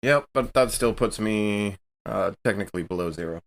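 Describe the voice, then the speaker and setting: A man's voice: on the low side, moderate pace, vocal fry. A man chatting casually as a player at a tabletop role-playing game.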